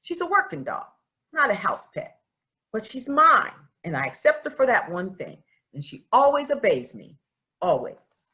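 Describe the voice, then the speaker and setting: A woman's voice: on the high side, very animated, normal speed, bright, forceful tone. A woman voicing a stubborn, earnest farm girl of about twelve who narrates a children's storybook in a plain, folksy, confiding way. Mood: fond, proud.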